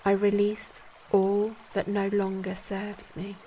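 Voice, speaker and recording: A woman guiding a yoga nidra meditation in a calm, soothing, measured manner, leaving long pauses between short instructions. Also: mid-range voice, slight background noise. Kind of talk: guided meditation.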